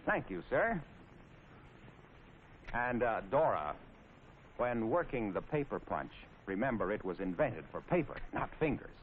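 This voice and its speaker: A man's voice: mid-range voice, big pitch swings, measured pace, pauses often. An American man narrating in 1940s training film style, wry, folksy and playfully sarcastic. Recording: scratchy, tinny 1940s film soundtrack with noise.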